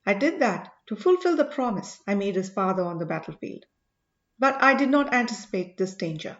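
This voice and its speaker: A woman voicing an earnest, commanding male sage in an audiobook, speaking in a measured, emphatic way. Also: medium pitch, lively intonation, roomy sound, dull audio, close to an everyday voice. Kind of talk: audiobook character dialogue.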